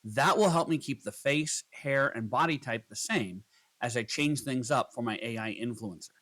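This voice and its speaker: A man narrating a scripted software tutorial, explaining each step in turn as a voice-over. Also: medium pitch, animated, moderate pace.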